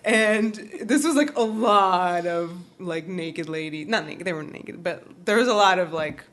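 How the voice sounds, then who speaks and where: A woman's voice: lowish voice, moderate pace, fairly bright tone. A woman co-hosting a podcast, recapping a TV show in casual chat and often breaking into giggles. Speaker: podcast co-host.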